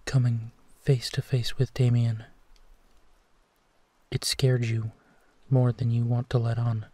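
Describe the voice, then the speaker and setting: Soft husky, slow-spoken gentle werewolf played by a man. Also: medium pitch, little pitch movement, no room sound. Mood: tender reassurance. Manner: hushed, tender, unhurried reassurance with pauses. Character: gentle, protective male werewolf comforting a frightened companion. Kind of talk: intimate sleep-aid roleplay audio, soothing.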